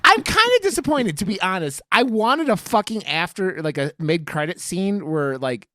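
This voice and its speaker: Mid-pitched podcaster, animated intonation, dry sound, casual film chat, rambling, halting, sweary. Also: no echo. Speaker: podcast co-host.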